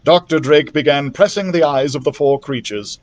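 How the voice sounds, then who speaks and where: A man reads a children's adventure book aloud in an expressive storytime style. His voice is a little higher than average for a man. He phrases the descriptions carefully, with a sense of drama. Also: moderate pace, noisy background. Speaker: library storytime reader. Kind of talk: expressive scripted storybook narration.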